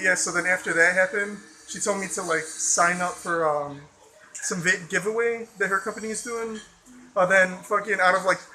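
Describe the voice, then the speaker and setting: A man with an American accent talks in a casual vlog, rambling loosely and swearing freely, packing his talk with fillers. His voice sits high for a man and sounds very bright and sharp. A faint hiss of noise runs underneath. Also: rough recording. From Chicago suburbs, USA. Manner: loose and profane, heavy on fillers like "you know" and "like". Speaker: vlogger.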